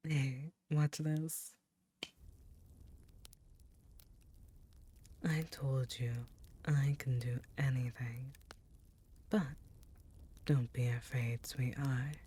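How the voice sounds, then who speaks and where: A woman voicing an ancient succubus queen, tender and soothing, in a soft, breathy, intimate ASMR roleplay. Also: very deep voice, fairly even intonation, heavily acted. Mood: protective, cozy. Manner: slow, intimate, reassuring.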